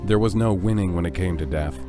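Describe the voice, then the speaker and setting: Low-voiced male story narrator over music. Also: fairly quick. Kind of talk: scripted fantasy story narration.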